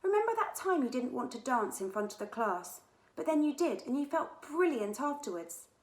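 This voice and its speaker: Woman doing a bold schoolgirl, pitched up. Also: very animated, a little mellow. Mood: encouraging, confident. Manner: brisk, encouraging. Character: bold, confident young schoolgirl leader in a storybook. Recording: echoey room.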